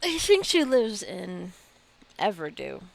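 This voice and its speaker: A woman giving relaxed, chatty commentary while playing a video game, talking to the game characters and laughing often. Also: mid-range voice, takes it slowly, creaky, rough recording. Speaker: gaming video creator. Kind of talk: casual gameplay commentary.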